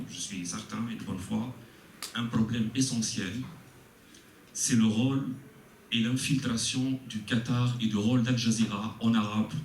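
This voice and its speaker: A man's voice: fairly low pitch, darkish tone. Formal man asking from the floor. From Tunisia. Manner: formal, deferential, long-winded, hesitant fillers. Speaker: audience member asking questions. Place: meeting room with audience. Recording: echoey room from far off, faint noise.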